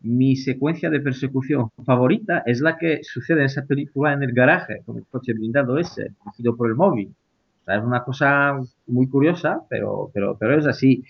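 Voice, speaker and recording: A man's voice: medium pitch, fairly quick, gravelly, slightly rough. A man taking part as a guest in a casual discussion, hesitant, with many fillers and restarts. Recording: muffled lo-fi audio.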